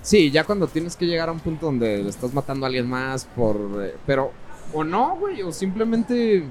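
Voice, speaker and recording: A young man with a Mexican accent co-hosts a casual podcast, and his voice sits high. He teases and jokes in quick, slangy banter, with faint music playing underneath. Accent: Mexican Spanish. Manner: teasing, joking, lively banter with slang. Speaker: podcast co-host. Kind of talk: casual chat.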